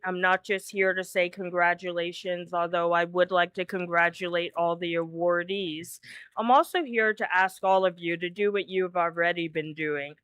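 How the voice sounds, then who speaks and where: A woman closing her remarks at a chapter dinner, speaking deliberately and earnestly. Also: lowish voice, a bit bright, smooth, no room sound.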